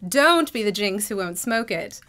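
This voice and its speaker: A woman's voice: mid-range voice, animated, moderate pace, sharp tone. A woman acting a grand, gushing radio actress with theatrical, affected delivery in an old-time comedy. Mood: coy, commanding. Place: live stage performance in a bookstore.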